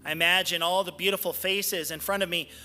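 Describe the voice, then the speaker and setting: A man speaking as a spiritual practitioner in a church sanctuary, giving devotional readings and prayer in deliberate, measured phrases with pauses. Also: high-pitched, fairly even intonation, bright, forceful tone, faint music behind.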